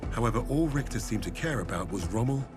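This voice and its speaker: An actor narrating a war story as a dry, clipped soldier. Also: male voice, medium pitch, animated, fast talker, over music, close to an everyday voice. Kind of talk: scripted game narration.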